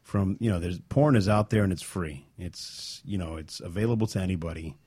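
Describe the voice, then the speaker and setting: A man's voice: sits low, a little fast, mellow, slightly rough, no echo. A man chatting casually on a talk show, inquisitive and agreeable.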